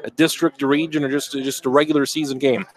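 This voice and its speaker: A man's voice: on the high side, level tone, fast talker, low-quality recording. A man hosting a sports radio show, asking conversational, leading questions.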